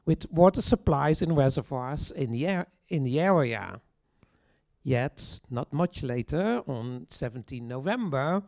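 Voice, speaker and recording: Slow, measured presenter at a launch event. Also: medium pitch, dark tone. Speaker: tour project presenter. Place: event hall.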